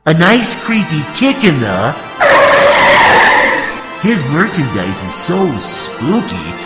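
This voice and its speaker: A man voicing a creepy, gleeful monster-show pitchman, hammy and theatrical, in a comic spooky radio ad. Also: very animated, slow pace. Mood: gleefully creepy. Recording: echoey long reverb, music underneath.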